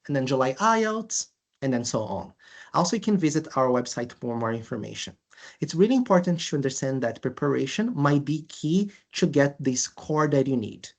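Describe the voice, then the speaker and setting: A presenter from Brazil with a Brazilian accent, walking through course details in a webinar, speaking with frequent uhs and restarts. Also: mid-range voice. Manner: halting, many filler uhs and restarts. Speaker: language school presenter.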